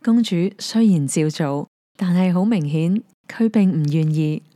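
A woman with a Hong Kong Cantonese accent gently narrating a fairy tale. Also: lowish voice, measured pace, dry recording. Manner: gentle, even storytelling. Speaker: storyteller. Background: soft piano music.

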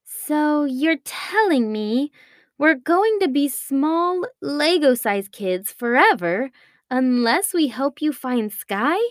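Storybook young sister, read by a woman: earnest, firm, practical, lively high voice. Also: slow pace, dry recording, clean studio sound. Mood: doubtful. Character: sensible, firm young girl, the worried sister.

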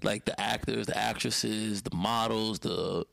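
A young man from Brooklyn with a New York accent tells stories as a podcast guest, laid-back and rambling, often repeating himself. His voice has a gravelly rasp at middling pitch. The sound is dry and close. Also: fairly even intonation, measured pace, fairly bright tone, no echo. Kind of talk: casual storytelling conversation.

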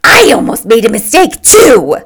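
A shrill, self-satisfied wife, as a female narrator acts her out in a storybook. She declares things vigorously, in a raised and very lively voice. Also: unhurried. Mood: vigorous, boastful.

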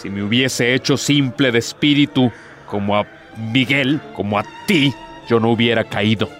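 A man's voice: mid-range voice, moderate pace, a bit bright, lightly acted. Guy doing a bitter proud devil in a radio play, sarcastic n theatrical, bit of music behind. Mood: bitter, accusing. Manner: theatrical, rhetorical, sardonic.

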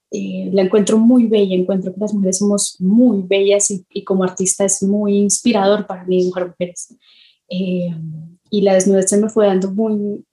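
A woman, speaking reflectively in an interview, thoughtful and halting as she searches for words. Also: medium pitch, warm tone, rough recording. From Colombia. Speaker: illustrator, podcast guest.

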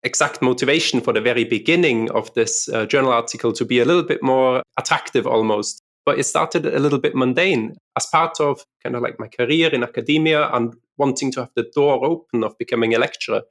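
A man from Austria talking about his academic career as a guest on a podcast, in a relaxed, chatty way. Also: medium pitch, fairly quick, few pauses. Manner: relaxed, chatty, uses fillers like "kinda like". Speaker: guest lecturer. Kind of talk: podcast interview.

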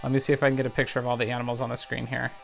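A man's voice: mid-range voice, very fast, gravelly, music in the background, rough recording. A man giving casual running commentary on a video game, calling out every move and the health numbers as he plays. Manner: excitable, narrates every move and health count aloud. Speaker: let's play gamer.

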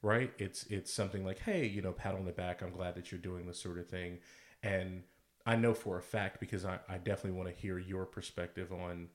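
A man with a very deep voice, co-hosting a podcast. He talks in a friendly, unhurried conversational way, with the odd "um" as he thinks. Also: fairly even intonation. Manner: friendly, measured, with frequent fillers. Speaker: podcast co-host. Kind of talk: casual conversation about workplace groups.

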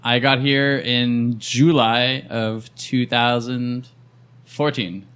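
A man's voice: mid-range voice, fairly even intonation, very slow, a bit bright, clean tone. A man in his thirties from Canada, a guest chatting casually on a podcast, easygoing and laughing often, with trailing fillers. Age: 30s.

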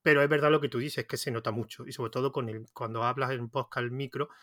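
A man's voice: medium pitch, steady tone, fast talker, fairly bright tone, dry recording, studio quality. A man with an Andalusian Spanish accent hosting a podcast interview, chatty and rambling, often restarting his sentences. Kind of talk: casual conversational interview.

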